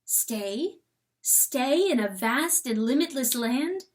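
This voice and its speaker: A woman's voice: high-pitched, animated, takes it slowly, far from the mic. A woman playing an anxious, timid male coyote husband in a storybook reading, sighing and nearly whining.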